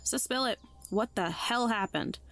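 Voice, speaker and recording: A woman's voice: a little high, measured pace, a bit bright, faint music behind. An actress in an audio drama playing a blunt, sardonic woman with a casual, slangy way of talking. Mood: wary, blunt. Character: Olivia, blunt, sardonic, slangy human friend.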